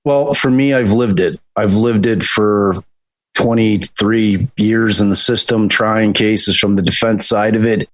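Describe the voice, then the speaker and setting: A man in his fifties, a defense attorney, answering interview questions in a relaxed, chatty way with frequent restarts. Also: lowish voice, a little slow, dry recording, rough recording. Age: 50s. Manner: relaxed, chatty, repeats words when starting a thought. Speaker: criminal defense attorney, podcast co-host.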